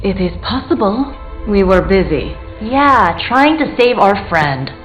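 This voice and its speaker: A bitter woman in a video game. She is terse and accusing, at an average pitch. Faint music plays underneath. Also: big pitch swings, a little slow, rough recording. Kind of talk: scripted video game dialogue.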